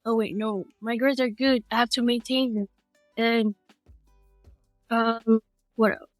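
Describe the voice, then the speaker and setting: Teen girl raised in American Samoa, slightly high voice, dry close mic, rambling student guest. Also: fairly even intonation, a little slow, no echo, soft background music. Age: teens. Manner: rambling, chatty, with many restarts and asides. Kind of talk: casual interview chat.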